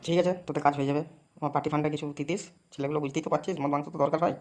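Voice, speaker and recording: A woman's voice: deep voice, fairly even intonation, racing, darkish tone, soft background music, natural voice. A woman voicing a bullying, foul-mouthed young club gangster in an amateur comedy cartoon, slangy and threatening. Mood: shady.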